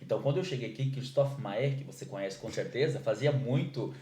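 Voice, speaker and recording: Brazilian podcast host in an echoey room. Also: male voice, mid-range voice, fairly quick, dark tone, slight background noise. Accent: Brazilian Portuguese. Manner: hesitant questions with many "é" fillers. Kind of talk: casual interview chat. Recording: roomy echo.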